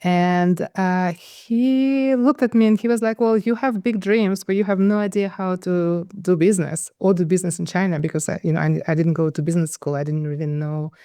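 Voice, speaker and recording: A woman's voice: lowish voice, fairly even intonation, a little fast, hardly any pauses, mellow, smooth, no echo, clean studio sound. A middle-aged woman from Ukraine, a guest telling her life story in an interview, hesitant and full of restarts. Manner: hesitant, with many restarts and fillers. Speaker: guest, conflict consultant.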